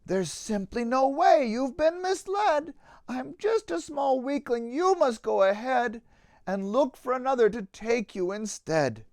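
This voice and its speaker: Guy doing sad scared little storybook donkey, high voice, pleading, down on himself, kinda slow. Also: expressive, darkish tone, dry recording, clean studio sound, full character voice. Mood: dread, hopeless. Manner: pleading, plaintive. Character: small sad timid male donkey, hopeless, self-doubting. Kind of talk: children's storybook character voice.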